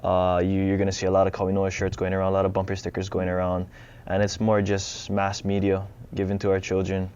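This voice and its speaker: A young man speaking as a guest on a TV studio panel, calm and earnest, steady with fillers. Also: deep voice, a little fast, few pauses, dull audio, slight background noise. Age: young adult. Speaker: student and teacher, panel guest.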